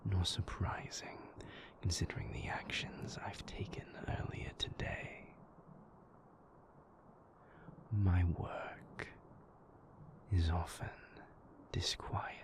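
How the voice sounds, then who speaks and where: A man playing a moody, brooding vampire in an intimate audio roleplay, hushed and gravelly, speaking deliberately with weary, dry wit. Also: full character voice. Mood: wry, reluctant. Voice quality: breathy, hushed, gravelly low voice. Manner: slow, deliberate, formal wording, dryly wry.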